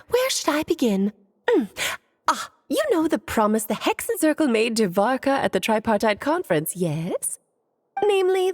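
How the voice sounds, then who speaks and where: Playful scheming witchy aunt from a game, high airy voice, very animated, teasing. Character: playful, whimsical, scheming mage aunt, breezily self-assured. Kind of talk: video game voice acting.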